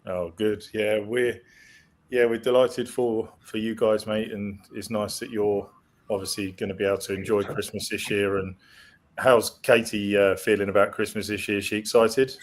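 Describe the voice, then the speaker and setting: A man's voice: fairly low pitch, flat delivery, normal speed. Man hosting with many ums. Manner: hesitant, many ums, friendly questions. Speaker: podcast host. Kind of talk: hosting casual chat.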